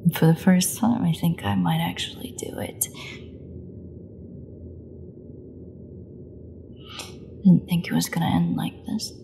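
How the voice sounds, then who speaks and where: A woman playing a starving, captive vampire in an audio roleplay, sardonic and teasing, often dropping into breathy half-whispers. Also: lowish voice, animated, fairly quick. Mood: strained, resigned. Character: starving captive female vampire, taunting, flirtatious, desperate. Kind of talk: scripted audio roleplay monologue.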